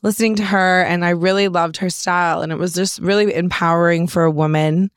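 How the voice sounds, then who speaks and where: A young woman with a Canadian accent and a raspy voice, chatting casually as an interview guest in a studio. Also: fairly low pitch, steady tone, a little fast, dry recording. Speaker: interview guest, rapper and songwriter. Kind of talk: casual chat.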